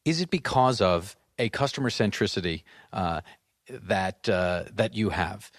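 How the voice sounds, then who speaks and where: A man's voice: on the low side, expressive, a little slow, no room sound, studio quality. A podcast host interviewing a guest, chatty, often stopping to rephrase his questions.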